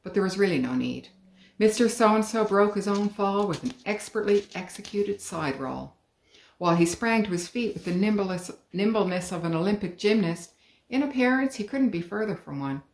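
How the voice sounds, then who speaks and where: A middle-aged woman, an author, reading her children's book aloud at home in a calm, clear storytelling manner. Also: mid-range voice, moderate pace. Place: living room at home. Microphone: webcam, head and shoulders. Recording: roomy living room.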